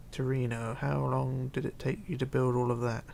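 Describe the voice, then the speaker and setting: A man hosting a casual livestream, reading chat aloud and chatting in a rambling, halting way. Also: medium pitch, creaky, darkish tone, a little hiss or hum. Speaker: Minecraft livestreamer.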